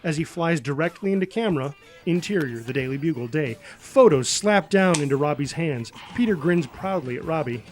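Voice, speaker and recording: A man's voice: a little high, expressive, a little fast, hardly any pauses, faint music behind. A man narrating a screenplay, reading out scene headings and action as a scripted narrator. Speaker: narrator reading stage directions.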